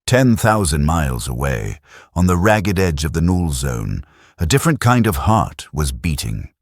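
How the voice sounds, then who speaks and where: A man narrating a science fiction audio drama with a deep, slow dramatic delivery. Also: no echo, clean studio sound.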